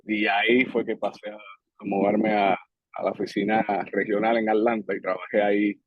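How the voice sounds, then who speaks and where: Husky, low-voiced man. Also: dry recording. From Puerto Rico. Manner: relaxed, measured, with frequent hesitations. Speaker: guest, public safety director. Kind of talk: casual interview talk. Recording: dry, slightly dull podcast audio.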